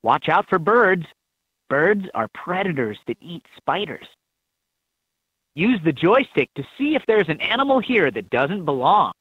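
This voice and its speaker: A man's voice: on the high side, big pitch swings, measured pace, slightly rough, no echo, full character voice. A man voicing an upbeat helper who gives scripted instructions in a children's educational game. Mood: upbeat, instructive. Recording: tinny, band-limited handheld game audio clips.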